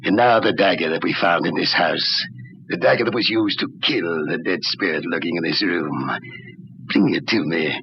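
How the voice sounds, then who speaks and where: An actor playing a crazed, sinister old doctor in old-time radio horror, theatrical and drawn-out, with a gravelly voice. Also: male voice, low voice. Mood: eerie, commanding. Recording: dull, noisy old radio recording.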